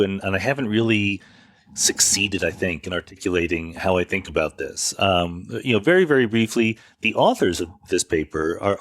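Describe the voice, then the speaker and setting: Male podcast host, lowish voice, conversational explainer, self-correcting and measured, close dry sound. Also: a bit bright, no room sound. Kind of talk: informal explanatory monologue answering listener questions. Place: makeshift recording setup away from home studio.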